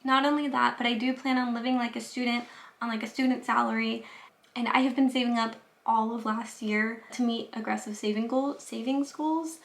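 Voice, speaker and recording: A chatty young woman records a casual vlog diary on her phone. Her voice sits a little high, and she talks in a loose, filler-filled way that sounds both nervous and excited. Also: normal speed, vocal fry. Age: young adult. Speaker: vlogger applying to grad school.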